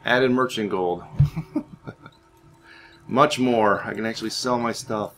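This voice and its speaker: A man's voice: lowish voice, slow pace, fairly bright tone, faint music behind. A man giving casual, half in-character roleplay commentary over a video game, moving between muttered asides and theatrical remarks. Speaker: gaming video host.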